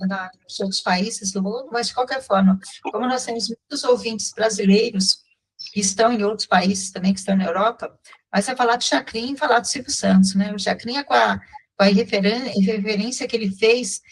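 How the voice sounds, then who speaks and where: A woman's voice: mid-range voice, fairly even intonation, normal speed, breathy edge, poor audio. A woman with a Brazilian accent hosting a radio talk show, chatting casually with frequent hesitations and fillers. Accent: Brazilian Portuguese. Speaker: radio host.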